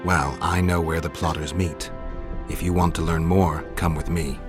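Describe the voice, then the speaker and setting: An actor playing a stoic, dry-witted mercenary monster hunter in a video game, terse and deadpan. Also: male voice, extremely low pitch, moderate pace. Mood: serious. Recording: game music behind the voice.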